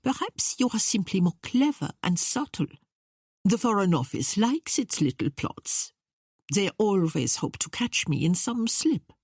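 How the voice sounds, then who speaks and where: A woman's voice: fairly low pitch, very animated, a little slow, darkish tone, breathy edge, no echo, studio quality, pitched above the performer's own voice, heavily acted. A woman voicing a haughty, scornful middle-aged queen in an audiobook novel. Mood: mocking. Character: imperious, mocking middle-aged exiled British queen.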